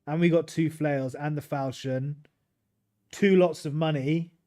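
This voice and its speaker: A man's voice: fairly high pitch, fairly even intonation, measured pace, dark tone. A British man casually commentating his gameplay on a livestream and chatting with his viewers. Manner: easygoing, chats with viewers while playing. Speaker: streamer.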